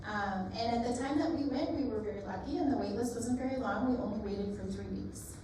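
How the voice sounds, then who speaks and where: A woman's voice: a little high, a little fast. A woman interpreting a mother's testimony, speaking fluently and appreciatively in a library meeting room. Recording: very echoey room from far off, noisy.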